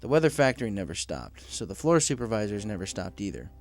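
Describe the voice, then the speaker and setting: A man's voice: lowish voice, very animated, fast, vocal fry, faint music behind. A man reading scripted fiction aloud as a story narrator.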